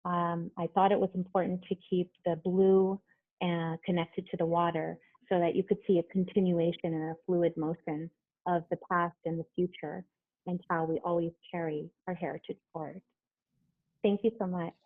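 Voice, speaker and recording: A woman on a video call reads a short poem, then describes her artwork with small hesitations. Her voice sits somewhat low. The sound is narrow and phone-like. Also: level tone. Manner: recites poem, then halting explanation. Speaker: artist. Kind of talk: poetry reading then casual explanation.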